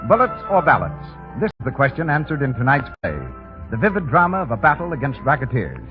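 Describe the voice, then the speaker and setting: A male radio announcer in the 1930s style, polished and persuasive. His pitch swings widely from line to line. The sound is a tinny old broadcast recording with music around it. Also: very animated, quick pace. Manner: polished, persuasive sales delivery.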